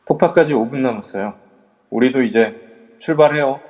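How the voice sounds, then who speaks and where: A man playing a male kendo instructor who leads a ghost-hunting group, calm and in charge. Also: mid-range voice, moderate pace, big-room sound, close to an everyday voice. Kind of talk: amateur audio drama acting.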